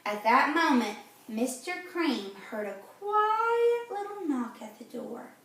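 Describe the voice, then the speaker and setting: A woman's voice: high voice, very animated, slow pace, a bit bright. A woman reading a children's storybook aloud expressively, exclaiming and putting questions to young listeners like a teacher. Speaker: reader of a children's read-aloud. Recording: very echoey room, distant mic.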